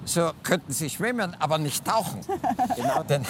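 Ein Mann erklärt als Experte in einem Interview im Freien und schmunzelt dabei. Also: male voice, on the high side, expressive, moderate pace. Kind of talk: explaining in an outdoor interview. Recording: outdoor interview with clear traffic-like noise.

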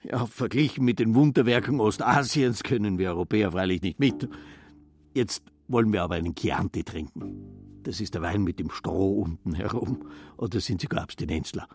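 Actor as pompous, low-voiced Austrian know-it-all. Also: male voice, moderate pace, darkish tone, soft background music, natural voice. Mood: lecturing, sociable. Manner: pompous, lecturing. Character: talkative, self-assured Austrian know-it-all travelling writer, Rudolf Schmitz. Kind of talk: literary radio play acting.

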